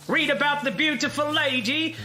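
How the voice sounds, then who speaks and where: A man playing a street newsboy who calls out sensational headlines in drawn-out, repeated cries in a period audio drama. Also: very high-pitched, sharp tone, exaggerated voice. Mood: hawking, urgent. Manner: calling out, repetitive, drawn-out. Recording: echoing, music behind.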